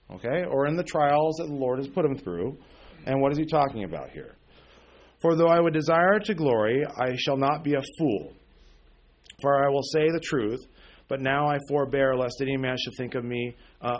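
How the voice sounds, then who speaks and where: A man's voice: medium pitch, poor audio. A man in his forties teaching a sermon on a Bible passage, with many fillers and restarts, often checking in with "okay?". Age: 40s. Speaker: preacher.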